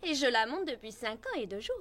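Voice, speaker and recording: A woman playing a spirited, friendly girl horse rider in a French cartoon dub, chatty and lively. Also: fairly high pitch, a little fast, slight background noise. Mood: admiring.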